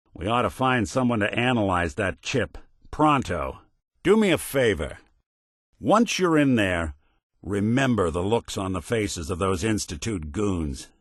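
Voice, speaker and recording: A weary old synthetic gumshoe with a low, expressive voice that rises and drops freely. He is wry and loyal, talking in slangy hard-boiled noir fashion. The game recording is dry and close, with no room around it. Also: unhurried, no echo. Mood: dry, matter-of-fact, then urgent, raised. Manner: wry, world-weary, slangy detective talk.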